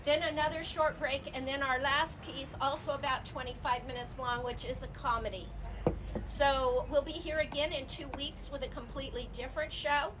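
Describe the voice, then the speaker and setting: A woman's voice: high-pitched, steady tone, moderate pace. A woman giving an informal welcome speech from the stage as host of a live theater show. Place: live before an audience at a theater. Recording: clearly noisy live stage recording.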